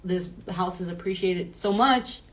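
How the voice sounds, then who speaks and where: A woman's voice: lowish voice, a little slow, low-quality recording. A woman real estate agent hosting a casual chat, prompting her guest with questions and brief affirmations.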